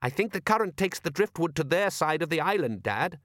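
Male reader as young son, raised pitch, plaintive, mildly cheeky, reasonable, audiobook character, dry recording. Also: animated, brisk, fairly bright tone, no room sound.

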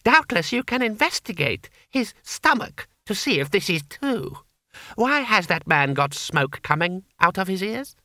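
A man's voice: high voice, very animated, measured pace, bright, forceful tone, no room sound, clean studio sound, pitched above the performer's own voice, exaggerated voice. A man voicing an imperious boy emperor who speaks in ornate, mock-courteous phrases with polite menace, as in an audiobook story. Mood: smiling menace.

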